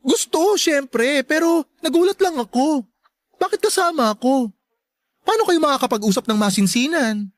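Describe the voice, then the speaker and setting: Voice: high-pitched, expressive, normal speed, dry recording. An actor playing a playful, teasing, flamboyant best friend in a radio drama, with sassy Filipino delivery and theatrical exclamations. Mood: surprised, dramatic.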